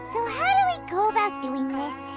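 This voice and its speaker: Super high squeaky tiny fairy sidekick, cutesy game voice, swoops all over, music behind. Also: female voice, huge pitch swings, moderate pace, full character voice. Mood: anxious, whiny. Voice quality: squeaky, childlike. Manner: cutesy, exaggerated, speaks of self in third person. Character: tiny childlike female fairy companion, chirpy and excitable. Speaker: video game character. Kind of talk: scripted game dialogue.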